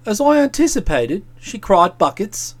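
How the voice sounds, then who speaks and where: A man voicing an aggrieved, aloof young English gentleman in a storybook, speaking with cold, pompous sarcasm. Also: high-pitched, sing-song, unhurried, full character voice. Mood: cold, reproachful.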